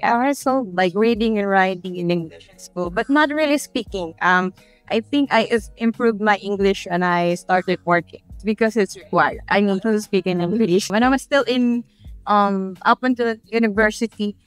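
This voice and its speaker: A woman's voice: medium pitch, moderate pace, clear, resonant tone, no echo, faint music behind, low-quality recording. A woman from the Philippines, answering interview questions in a hesitant, self-conscious way. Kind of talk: casual street interview answers.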